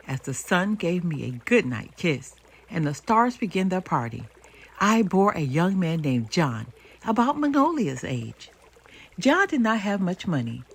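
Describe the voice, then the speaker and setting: Storybook river spirit , played by a woman: old, mighty, gruffly indulgent, husky, solemn and animated. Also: lowish voice, full character voice.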